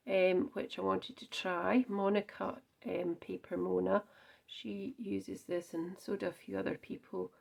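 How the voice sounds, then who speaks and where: A woman's voice: mid-range voice, level tone, measured pace, vocal fry. A Scottish woman chatting through a card-making demonstration, thinking aloud with frequent ums and small self-corrections. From Scotland. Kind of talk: casual crafting demonstration.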